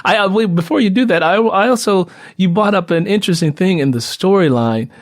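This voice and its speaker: A male interview host with a high-pitched voice. He chats informally and with excitement, in a casual conversational style. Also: fairly quick.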